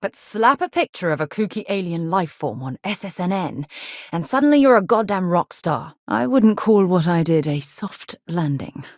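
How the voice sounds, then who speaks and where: An actress voicing a self-assured, earnest and wry ex-military space explorer in a video game, articulate and composed. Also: female voice, on the low side, big pitch swings, normal speed, no echo, clean studio sound. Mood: wry, teasing. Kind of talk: video game character dialogue.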